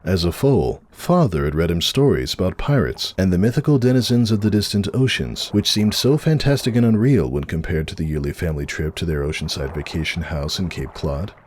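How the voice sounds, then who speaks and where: A man's voice: low-pitched, animated, fairly quick, hardly any pauses, a little mellow, slight background noise. A man narrating a story in a dramatic reading style.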